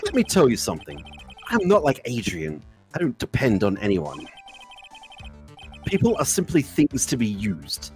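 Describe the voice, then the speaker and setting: A man plays a smug game villain, a manipulative celebrity killer. His pitch swoops wildly as he drawls with mock sweetness and theatrical condescension, over background music. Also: huge pitch swings, moderate pace, darkish tone. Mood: taunting, condescending. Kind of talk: live fan dub of a visual novel game.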